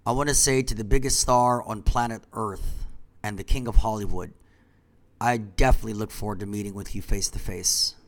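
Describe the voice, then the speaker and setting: A congested man who founded a company, talking in a rambling monologue that trails off into quiet asides. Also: fairly low pitch, steady tone, a little fast. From Washington, D.C. area.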